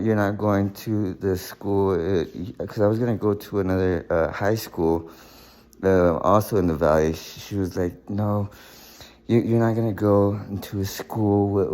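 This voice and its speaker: Man, low pitch, young adult, casual personal story, halting, repeats words, lots of fillers. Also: a little mellow. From United States.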